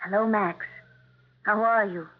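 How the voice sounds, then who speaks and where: An actress playing a sad but polite widow in a 1970s radio mystery. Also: female voice, mid-range voice, fairly even intonation, a little slow, lightly acted. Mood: weary, polite. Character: Maud, sad, polite widow keeping her composure. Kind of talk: 1970s radio drama acting. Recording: tinny narrowband vintage radio recording.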